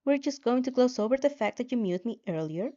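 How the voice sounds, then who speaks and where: A controlling game system speaks through a woman reader in a soft, mellow voice. It is matter-of-fact and a little teasing, like a story narrator's sidekick. The recording sounds somewhat muffled. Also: fairly high pitch, lively intonation, a little fast, lightly acted. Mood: miffed. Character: bossy game-like system voice, controlling but casual.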